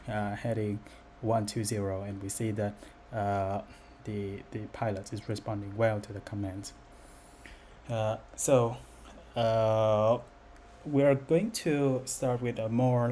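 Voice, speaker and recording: A man giving an unscripted software demo as its developer, speaking in a halting, hesitant way. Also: fairly low pitch. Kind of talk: unscripted screen-recorded demo narration. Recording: noticeable background noise.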